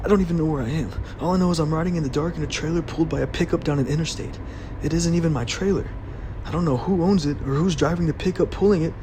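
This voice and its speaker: A man acting an anxious young runaway graduate, rumbling background. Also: natural voice. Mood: frightened, urgent. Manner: confiding, halting, uneasy, breaking into hushed asides. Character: anxious young male college graduate on the run, recording a confession. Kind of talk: first-person audio drama monologue. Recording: noisy, steady vehicle rumble behind the voice.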